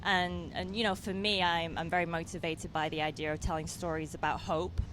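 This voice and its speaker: Brief young English woman director, lower voice, hesitant interviewee, faint noise behind. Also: flat delivery, fairly quick, a bit bright. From England. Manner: hesitant, many fillers and restarts. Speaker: film director. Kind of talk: interview answers.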